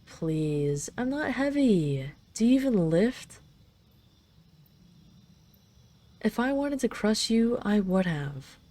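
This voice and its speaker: Woman playing a mellow, tender dragon queen. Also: mid-range voice, very animated, takes it slowly. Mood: flustered, teasing. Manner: affectionate, gently teasing, wistful. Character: dragon queen, tender, teasing, possessive lover. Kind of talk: intimate roleplay audio drama, slow and soft.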